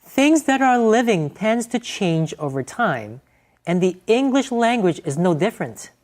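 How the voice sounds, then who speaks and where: A woman reading a scripted language segment in an expressive way. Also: mid-range voice, unhurried. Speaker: segment narrator. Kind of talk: scripted narration.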